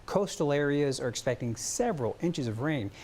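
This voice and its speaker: A man's voice: on the high side, animated, normal speed, darkish tone, a little hiss or hum. A man with an American accent anchoring the news, moving between broadcast delivery and light studio banter.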